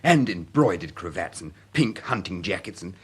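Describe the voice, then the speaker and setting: An actor playing a good-hearted, plain-spoken young student with an upper-class English accent in a period radio drama. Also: male voice, low-pitched, very animated, normal speed, breathy edge, heavily acted. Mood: concerned, chiding. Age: young adult.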